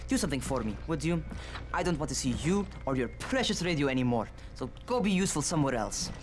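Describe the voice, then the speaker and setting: A man's voice: on the high side, very animated, fast, music in the background. A man playing a brash, bossy young survivor who scolds curtly in a video game. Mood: irritated, dismissive. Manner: scolding, curt, profane.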